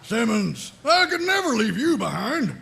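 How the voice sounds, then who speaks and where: A man playing a gruff, bossy, callous military sergeant in a comedic table read, with a gravelly, blustering, emphatic delivery. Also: very high voice, sing-song, measured pace, bright, forceful tone, exaggerated voice. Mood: blunt, dismissive.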